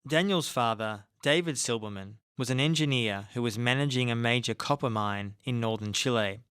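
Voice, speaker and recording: A man narrating a documentary podcast from a script, in a measured, even delivery. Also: mid-range voice, smooth, no echo, clean studio sound. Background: faint music.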